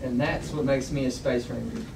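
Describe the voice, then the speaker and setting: A cocky boy bragging in a retro space serial read-through , played by a woman. The voice is very low and creaky, picked up in an echoey, noisy restaurant. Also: fairly even intonation, moderate pace, far from the mic. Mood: smug. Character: boastful, dismissive young boy telling of adventures. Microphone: far camera across the table.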